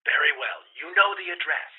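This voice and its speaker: A woman's voice: low-pitched, fairly quick, husky. A woman playing a curt, businesslike criminal plotter in a radio thriller, heard through a telephone filter. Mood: cold, businesslike. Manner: curt, controlled, instructing. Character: cold, businesslike murder-plotting criminal go-between. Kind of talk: 1940s radio thriller acting. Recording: thin, band-limited telephone line.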